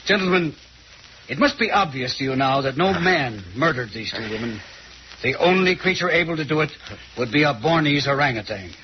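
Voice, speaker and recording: An actor playing a confident, condescending detective who lectures and teases in an old-time radio mystery. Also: male voice, on the high side, expressive, fairly quick, close to an everyday voice. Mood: explaining. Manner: dramatic, lecturing, teasing. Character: confident, theatrical male detective, shrewd and condescending. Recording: muffled, noisy old radio recording.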